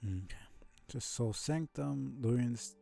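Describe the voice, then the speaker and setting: A man streaming a video game, giving casual, low-key commentary as he thinks aloud in short fragments. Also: slow pace, vocal fry, mellow, faint music behind. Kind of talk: casual muttered gameplay commentary.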